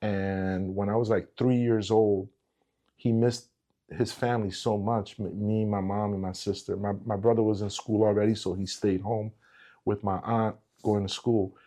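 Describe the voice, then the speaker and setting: A man's voice: fairly low pitch, little pitch movement, moderate pace, dark tone. A middle-aged man born in Portugal, a former teacher talking in a casual conversation, emphatic and given to repeating words as he builds a point.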